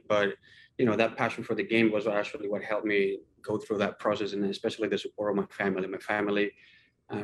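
A man speaks quickly and fluently in a level, fairly low voice, explaining himself in interview answers. A faint low rumble sits on the recording. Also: rough recording. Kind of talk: first-person interview answers.

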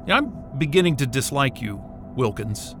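A man's voice: medium pitch, big pitch swings, moderate pace, over music, close to an everyday voice. A man playing a weary, foul-mouthed astrophysicist in an audio drama, with a sarcastic, grumbling manner. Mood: sarcastic, resentful. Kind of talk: naturalistic audio drama acting.

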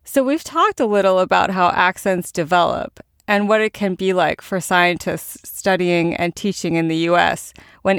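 A woman hosting a science podcast, reading scripted narration in a measured, explanatory way. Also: fairly low pitch, clear, resonant tone, dry recording, studio quality. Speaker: podcast host.

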